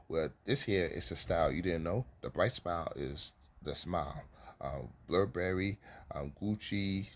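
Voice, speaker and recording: Man, very low husky voice, motivational vlogger, halting and repetitive delivery. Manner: stumbles and restarts, repeats phrases for emphasis. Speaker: vlogger giving dating advice. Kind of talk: rambling motivational monologue.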